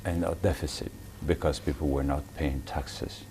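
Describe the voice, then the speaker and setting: A man with an extremely deep, low voice asks his questions slowly, pausing and restarting as he feels for the words. A faint hum runs under him. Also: fairly even intonation, gravelly, a little mellow. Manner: halting, hesitant phrasing, frequent back-channel murmurs. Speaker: interviewer host. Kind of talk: interview questions.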